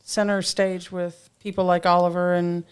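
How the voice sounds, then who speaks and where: An older woman hosting a casual talk show, chatty and quick to laugh. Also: lowish voice, flat delivery, clean tone. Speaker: host.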